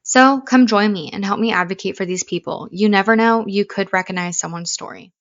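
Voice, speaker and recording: A woman's voice: medium pitch, fairly quick, a bit bright. Earnest female podcast host for a promo spot; upbeat, a bit lo-fi. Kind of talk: read podcast ad.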